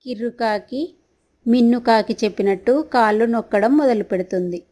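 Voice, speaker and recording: A woman's voice: a little high, normal speed, mellow. A woman narrator reading a scripted children's story.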